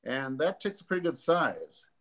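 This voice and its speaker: A man, hosting a live stream as a doctor from his living room, a bit congested, pausing often with ums and uhs. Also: on the high side, big pitch swings. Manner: halting, many ums and uhs. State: congested from allergies. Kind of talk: casual educational talk. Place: living room at home, sitting on a sofa. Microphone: webcam or laptop camera at desk distance.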